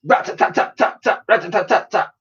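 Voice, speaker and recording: A man's voice: sits high, fairly quick, fairly bright tone, breathy edge, soft background music, voice pushed up, exaggerated voice. A man voicing a gloating, trigger-happy female villain in a storybook, menacing and exclaiming. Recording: dull, lo-fi sound.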